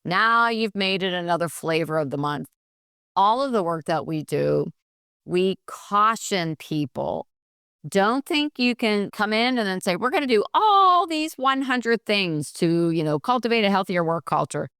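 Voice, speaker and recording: A middle-aged woman nurse consultant answering in a podcast interview, energetic and practical, often acting out what people say. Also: mid-range voice, unhurried, clear, resonant tone, no room sound, studio quality. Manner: energetic, practical, acts out quoted dialogue.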